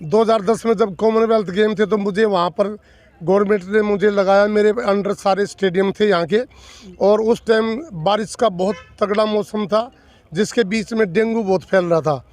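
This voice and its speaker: A man's voice: high-pitched, fairly even intonation, normal speed, a little mellow, no room sound. A man in his sixties from the villages of North Delhi, answering interview questions as an election candidate in an earnest, emphatic way, repeating and listing points. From North Delhi villages, India. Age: 60s.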